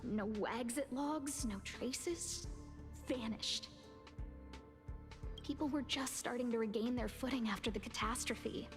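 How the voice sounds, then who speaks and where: A woman's voice: a little high, measured pace. An actress playing a composed, concerned young woman in charge of a space station, polite and articulate, in a game cutscene. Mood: grave, concerned. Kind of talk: scripted game cutscene acting. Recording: long reverb, music under the voice.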